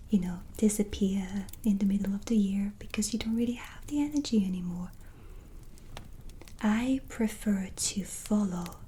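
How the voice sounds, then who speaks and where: A woman as a slow, whispering gentle witch. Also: medium pitch, slight background noise, lightly acted. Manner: slow, soothing, intimate, addressing the listener as guest. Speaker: ASMR roleplay performer. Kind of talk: soft-spoken whispered ASMR roleplay. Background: soft ambience.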